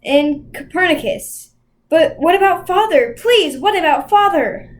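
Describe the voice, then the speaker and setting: A worried, impatient young girl from a children's storybook, read by a woman. Her high voice has an anxious, pleading edge. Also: expressive, unhurried.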